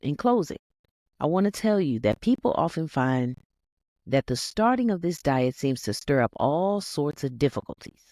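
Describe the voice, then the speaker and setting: A narrator reading a self-help text aloud in an instructive, scripted audiobook style, with deliberate emphasis on key words. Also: medium pitch, sing-song, a little mellow, no echo, clean studio sound. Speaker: reader, narrator.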